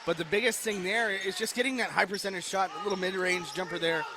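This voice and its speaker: A man with a fairly high voice gives color commentary during a radio broadcast of a basketball game. He talks through what the team should do like a coach and sometimes trips over his own phrases. Loud gym noise fills the background. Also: fast talker. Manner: coaching-style analysis with small restarts. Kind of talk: game analysis.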